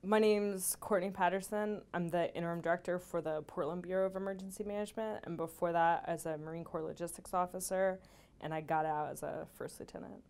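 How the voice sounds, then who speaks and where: A woman's voice: lowish voice, fairly even intonation, a little fast, darkish tone, smooth. A woman, an emergency management director and Marine veteran, introducing herself in a businesslike way. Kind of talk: recorded interview introduction.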